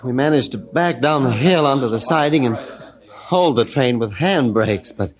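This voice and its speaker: A man playing a dazed, regretful train boss, speaking wearily and haltingly in an old radio drama. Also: mid-range voice, animated, dull audio, lightly acted. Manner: halting, weary. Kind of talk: old time radio adventure acting.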